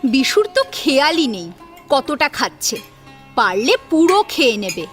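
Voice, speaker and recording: A woman's voice: high voice, very animated, measured pace, a bit bright, soft background music. A woman narrating a scripted family drama as a storyteller, in a dramatic audio-story style.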